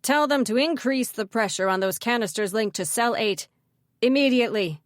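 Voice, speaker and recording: A woman's voice: fairly high pitch, expressive, normal speed, no echo, clean studio sound, natural voice. A woman voicing a ruthless, vengeful male mayor who barks and threatens in an audiobook story. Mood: furious barking. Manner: barking, snapping, menacing.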